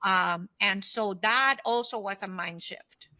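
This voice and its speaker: A woman's voice: medium pitch, slow, sharp tone. A woman in her fifties talking as an interview guest, sharing personal experience and advice in an emphatic, conversational way. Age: 50s. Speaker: guest, solopreneur consultant and former aerospace executive.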